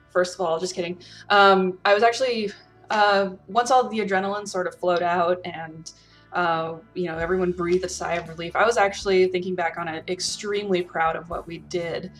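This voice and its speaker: A woman with a slightly low voice speaks in an earnest, emphatic way. She reflects casually on gaming experiences and gives advice, with music playing behind her. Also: steady tone, fairly quick, a bit bright. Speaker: role-player and storyteller giving advice.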